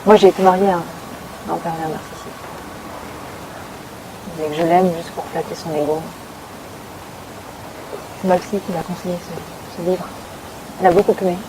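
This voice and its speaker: A woman's voice: on the low side, steady tone, moderate pace, long pauses, background noise, voice pushed down, lightly acted. A woman playing a woman who earnestly talks about having been married to a narcissist. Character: woman once married to a narcissist, reading on her therapist's advice.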